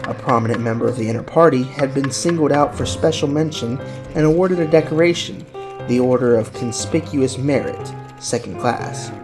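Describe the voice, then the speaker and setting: A man's voice: medium pitch, normal speed. A man reading scripted novel narration for a dramatized audiobook, with music playing behind him. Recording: clear music under the narration.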